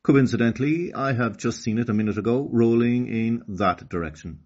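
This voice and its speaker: Man playing a distinguished, husky storybook turtle. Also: medium pitch, moderate pace, darkish tone, natural voice. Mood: courteous. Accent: Irish. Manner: formal, distinguished. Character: distinguished, courteous, cautious male turtle. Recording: slightly dull lo-fi recording.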